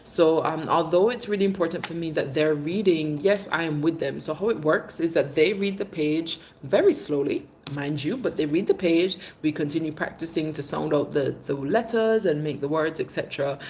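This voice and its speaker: A woman's voice: deep voice, moderate pace, hardly any pauses, darkish tone, a little hiss or hum. Chatty woman with a mixed British-Jamaican accent. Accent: British English mixed with Jamaican. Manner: chatty, affectionate, calls viewers babes and darling. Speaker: livestream host. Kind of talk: casual live chat, reading viewer comments.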